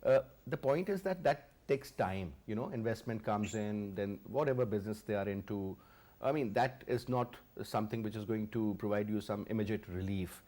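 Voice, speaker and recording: A man's voice: on the low side, normal speed, warm tone. A man, hosting a TV interview and pressing his guest with emphatic questions. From Pakistan. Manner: emphatic, questioning, repeats words. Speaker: TV host.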